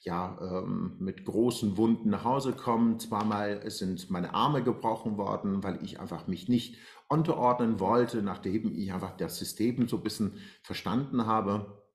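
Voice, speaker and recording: A man's voice: fairly low pitch, a little slow. Hesitant man from Iran, roomy echo. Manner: hesitant, many fillers and restarts. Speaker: guest. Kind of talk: personal life story in interview. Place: bright room at home, indoors. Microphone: video call.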